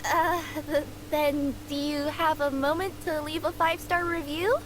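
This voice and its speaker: Woman as eager young cat-girl courier, very high lively voice, polite, flustered, video game, noisy capture. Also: normal speed, close to an everyday voice. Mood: nervous, flustered. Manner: earnest, stammering when nervous. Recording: game capture with clear background noise.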